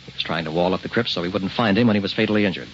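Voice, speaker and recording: Low-pitched middle-aged family man. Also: rapid-fire. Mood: grave, troubled. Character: troubled museum scientist, a tired, jovial family man. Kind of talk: old-time radio drama acting. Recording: muffled old radio recording with hiss.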